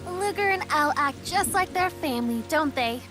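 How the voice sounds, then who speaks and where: A woman's voice: sits high, lively intonation, moderate pace. An actress playing a perky, earnest, youthful girl in a video game. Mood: musing. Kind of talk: animated video game voice acting. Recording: studio voice with music behind.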